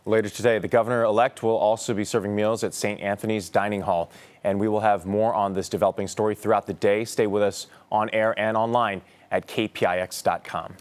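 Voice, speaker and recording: A man's voice: lowish voice, steady tone, fairly quick. A man anchoring a newscast, reading scripted news in a broadcast delivery.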